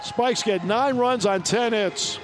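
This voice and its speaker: A man announcing a baseball game on radio, giving scores and reading sponsor lines in a brisk announcer delivery. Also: high voice, over music. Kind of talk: radio sports broadcast.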